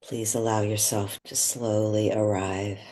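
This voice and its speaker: A man leading a guided meditation in a gentle, unhurried way, with long pauses between phrases. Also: fairly low pitch, flat delivery.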